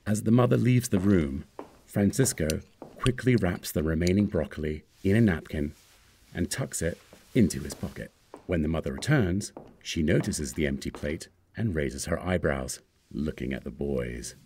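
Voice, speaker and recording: Extremely deep-voiced male script narrator. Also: animated, normal speed, darkish tone, slight background noise. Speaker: screenplay narrator. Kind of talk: scripted narration of screen directions.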